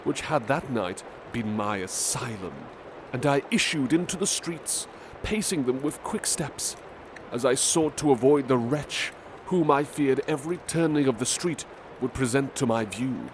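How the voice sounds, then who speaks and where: Gentle, measured male ASMR storyteller. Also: medium pitch, expressive, background noise. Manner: measured, gentle, literary reading. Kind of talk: ASMR roleplay story reading.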